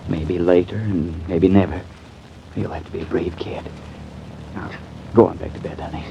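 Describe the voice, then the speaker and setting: A low-voiced, troubled father in a 1950s radio play. He is tender and halting, heard through a muffled old recording with some noise. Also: steady tone, vocal fry. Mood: grave, hushed. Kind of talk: 1950s radio drama acting.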